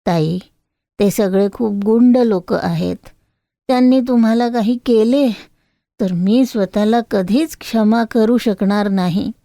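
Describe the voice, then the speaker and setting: A woman voicing a gentle, humble male bangle seller, sincere and forgiving, in an animated moral story. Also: medium pitch, slow, no room sound, clean studio sound. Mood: worried.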